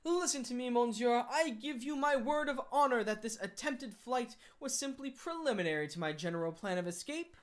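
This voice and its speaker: A woman reading aloud gives an emphatic, mocking voice to a self-assured male gentleman thief in an audiobook. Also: on the high side, very animated, normal speed. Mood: confident. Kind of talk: audiobook character voice.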